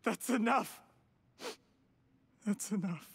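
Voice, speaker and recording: An actor playing a polite, two-faced, ambitious poisoner in a video game, with formal and silky manners. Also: male voice, high-pitched, slow pace, vocal fry, a bit bright, slightly rough, exaggerated voice. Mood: desperate anger. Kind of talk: dramatic game voice acting.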